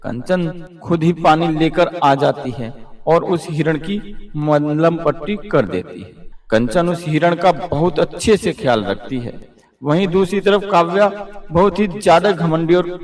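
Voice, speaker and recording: A man's voice: fairly high pitch, roomy sound. A man narrating a scripted children's story.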